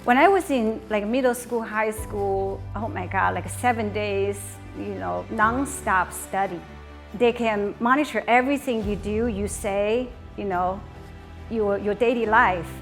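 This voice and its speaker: A woman's voice: mid-range voice, a little slow, soft background music. A woman from China, giving a chatty personal testimony full of "like" and "you know".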